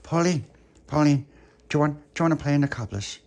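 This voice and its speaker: An excitable little puppy from a children's story, acted out by a man, pitched up high. The manner is affectionate and bossy, gushing and eagerly repeating words. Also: quick pace.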